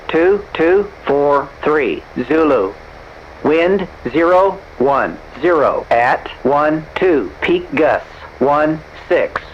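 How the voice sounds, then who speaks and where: Slow automated male voice on aviation radio. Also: fairly high pitch. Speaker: automated airport weather announcer. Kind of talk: automated weather report read-out, word by word. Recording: dull aviation radio with faint noise.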